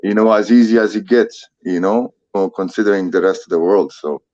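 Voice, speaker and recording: A man's voice: on the low side, brisk, warm tone, no room sound, dull audio. A man in his thirties with a foreign accent, a fighter talking casually in an interview, laid-back and swearing often. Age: 30s. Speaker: MMA fighter, guest. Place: Ottawa.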